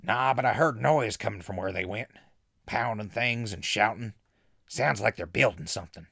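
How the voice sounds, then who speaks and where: A man's voice: fairly low pitch, normal speed, gravelly, bright, forceful tone, breathy edge, no echo, clean studio sound, heavily acted. A man playing a friendly, eccentric hermit hunter with chummy, drawling backwoods talk in a comic post-apocalyptic audio drama. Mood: obliging.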